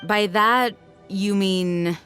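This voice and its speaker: A formal, resolute knight commander. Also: female voice, mid-range voice, fairly bright tone, faint music behind. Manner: measured, formal. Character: composed female knight commander, dutiful and resolute. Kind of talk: video game cutscene dialogue.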